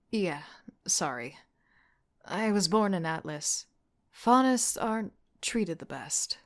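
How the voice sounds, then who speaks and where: A woman playing a young team leader who narrates an audio drama in a reflective, softly breathy, introspective way. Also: fairly low pitch, lively intonation, takes it slowly, long pauses, dry recording, clean studio sound. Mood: guarded.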